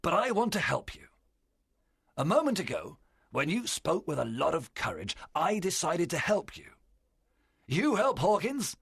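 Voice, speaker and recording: Cunning, scornful pirate captain for a storybook; gravelly and raspy, wildly animated, slow and commanding. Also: male voice, on the high side, pitched above the performer's own voice, heavily acted. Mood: confiding. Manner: forceful, drawn-out rhetorical taunts. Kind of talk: audiobook character voice.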